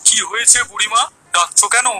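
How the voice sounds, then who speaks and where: A man playing an eager, devout young villager in a cartoon story, earnest and excitable. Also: sits high, fairly quick, soft background music, exaggerated voice. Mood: eager, informative. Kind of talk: Bengali animated moral story acting.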